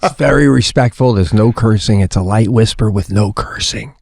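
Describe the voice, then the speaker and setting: A man co-hosting a comedy podcast, telling stories in an animated way, swearing freely and restarting his sentences. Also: on the low side, moderate pace, creaky, heavy background noise. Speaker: podcast co-host. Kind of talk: casual comedic chat.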